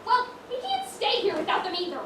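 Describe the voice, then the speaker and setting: Woman as a high-pitched, flustered shopkeeper on stage. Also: sing-song. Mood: bossy. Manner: flustered, brisk, bossy. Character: flustered, bossy widowed dress shop keeper. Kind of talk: amateur stage comedy acting. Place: school theatre stage, distant microphone. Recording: echoey stage from far off, noisy.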